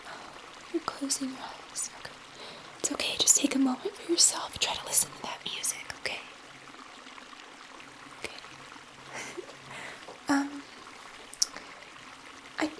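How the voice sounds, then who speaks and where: Woman acting as female alien scientist, whispered ASMR roleplay, slow, gentle, halting, breathy. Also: sits high, rough recording. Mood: soothing. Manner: hesitant, halting, soothing.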